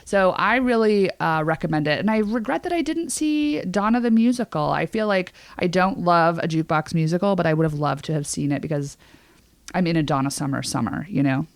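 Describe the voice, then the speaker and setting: A woman with a fairly low voice chats casually as a podcast co-host. She is emphatic and gushing, with slangy phrasing. The sound is dry, with little room in it. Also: animated, fairly quick, no echo.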